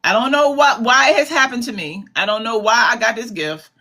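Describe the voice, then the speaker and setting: Casting note: bright, emphatic woman host, talks straight to viewers in a casual, repetitive style. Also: on the high side, a little fast. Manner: chatty, calls viewers "babies", repeats herself for emphasis. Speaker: commentary livestream host. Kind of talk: casual live commentary.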